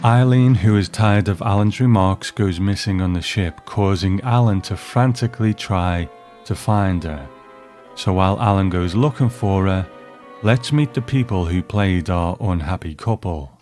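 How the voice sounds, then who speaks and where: Low-voiced man narrating a review. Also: unhurried, a little mellow, soft background music. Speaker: host.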